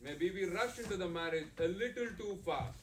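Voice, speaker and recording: A weary, buttoned-up young lawyer husband , played by a man lectures with exasperation. His somewhat high voice swings widely in pitch. It rings in a reverberant room over faint background noise. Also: expressive, moderate pace, far from the mic. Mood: lecturing. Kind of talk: stage play acting.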